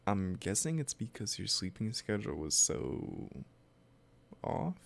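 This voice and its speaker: Slow, low-voiced obsessive kidnapper played by a man. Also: very animated, gravelly, exaggerated voice. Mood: calm, coaxing. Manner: slow, hesitant, gently coaxing. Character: obsessive lovesick kidnapper, soft-spoken and possessively sweet. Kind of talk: roleplay audio drama, addressing the listener directly.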